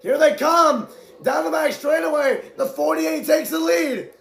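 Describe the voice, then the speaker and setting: Man, fast excited play-by-play, shouting, strained hoarse voice, high pitch, roomy echo. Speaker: amateur race commentator. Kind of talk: excited live play-by-play commentary.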